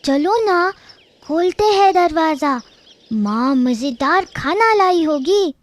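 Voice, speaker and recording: Squeaky little cartoon goat kid. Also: female voice, lively intonation, slow, full character voice. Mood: eager. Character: cautious, childlike little goat kid, small and alert. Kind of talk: children's cartoon story acting.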